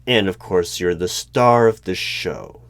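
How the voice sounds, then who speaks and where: A man playing a creepy, skeptical hiring manager in a one-sided job interview roleplay, speaking in a deliberate, probing way. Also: deep voice, lively intonation, vocal fry, dry recording, heavily acted. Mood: measured probing. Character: creepy, skeptical male hiring manager of a mysterious secret organization. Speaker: roleplay performer.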